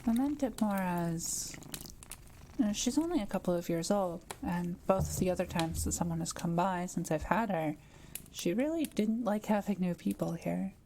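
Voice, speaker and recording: Woman acting a gentle, mellow-voiced forest witch. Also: lowish voice, normal speed, a little hiss or hum, close to an everyday voice, low-quality recording. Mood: gently surprised, flustered. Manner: gentle, hesitant, softly teasing. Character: kind, shy, quiet forest witch. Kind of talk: intimate audio roleplay, speaking to the listener.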